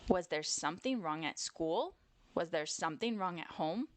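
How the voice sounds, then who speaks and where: A woman narrating a children's storybook as a scripted read-aloud, with deliberate, expressive phrasing. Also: lowish voice, dry recording.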